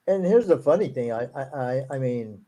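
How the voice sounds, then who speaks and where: A man whose first language is Portuguese hosts a casual discussion. He reads passages aloud slowly and then adds quick, sarcastic remarks. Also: medium pitch, lively intonation, dark tone. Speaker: show host.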